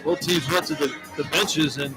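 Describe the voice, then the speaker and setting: A man's voice: a little high, normal speed, music in the background. A man working as a radio color commentator at courtside, analyzing basketball in plain, conversational language. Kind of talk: live basketball analysis between plays. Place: courtside in an indoor arena.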